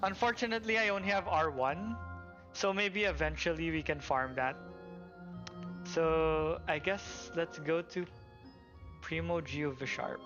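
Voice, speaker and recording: A man's voice: on the high side, unhurried, lo-fi sound, music in the background. A man hosting a game livestream, thinking aloud hesitantly in casual live commentary.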